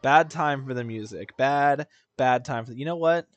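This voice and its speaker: A man's voice: mid-range voice, normal speed, no room sound, lo-fi sound. A man giving casual live gameplay commentary as a streamer, informal and rambling, often correcting himself mid-thought. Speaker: game streamer.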